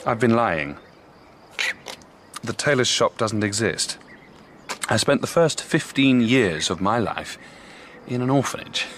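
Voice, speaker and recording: An actor playing an earnest, troubled detective burdened by a secret in a radio drama, intense and hesitant. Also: male voice, mid-range voice, lively intonation, slow pace, pauses often, fairly bright tone, a little hiss or hum. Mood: sombre confession.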